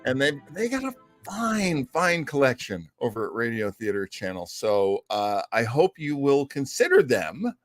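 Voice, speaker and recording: Guy host rambling casually, slow, dry close sound, faint music. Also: medium pitch, sing-song, no room sound. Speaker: host of an old-time radio show online.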